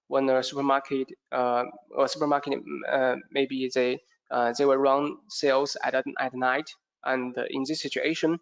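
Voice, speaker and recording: A man giving a product training presentation in a hesitant, halting way, often repeating words, with a creaky voice. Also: medium pitch. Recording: dull, muffled lo-fi audio.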